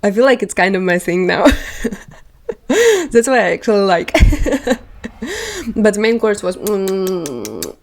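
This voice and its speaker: A woman's voice: mid-range voice, huge pitch swings, slow pace, long pauses. A woman whose first language is not English, chatting casually about books on her vlog, rambling and laughing often. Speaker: book vlogger. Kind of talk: casual chat.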